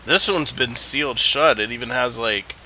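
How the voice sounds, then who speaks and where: A man's voice: mid-range voice, normal speed, sharp tone, slight background noise, rough recording. Stuffy-nosed man rambling over gameplay. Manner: rambling, thinks aloud with many "like"s. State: stuffy nose. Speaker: gameplay streamer. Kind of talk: casual let's-play commentary.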